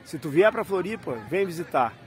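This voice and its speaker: A man's voice: high voice, animated, a little fast. A man, chatting casually to viewers in a vlog and pointing things out as he goes. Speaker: rideshare driver and travel vlogger. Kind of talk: casual walking-tour vlog.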